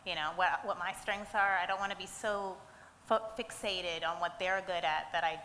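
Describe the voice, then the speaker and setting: A woman's voice: fairly low pitch, fairly even intonation, moderate pace, bright, forceful tone. A woman fighter giving thoughtful, rambling answers at a press conference. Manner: thoughtful, rambling, many fillers. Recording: roomy hall, faint noise.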